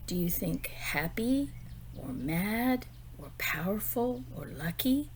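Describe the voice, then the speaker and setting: A woman teacher giving a read-aloud lesson for young children, expressive and child-directed, asking simple questions and pausing for answers. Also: mid-range voice, a little hiss or hum.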